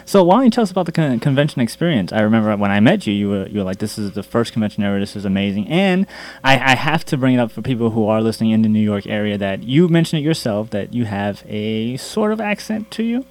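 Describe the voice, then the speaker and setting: A man's voice: fairly low pitch, lively intonation, fast talker, darkish tone, poor audio. A man hosting a podcast interview, asking questions in a joking, chatty way. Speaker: podcast host. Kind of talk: casual interview talk.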